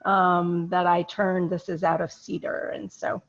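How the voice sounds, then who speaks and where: Hoarse woman oncologist on a call. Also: on the low side, flat delivery, measured pace. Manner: chatty, enthusiastic. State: hoarse, under the weather. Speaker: medical oncologist. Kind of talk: self-introduction on video call. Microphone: video call. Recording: dull lo-fi video call audio.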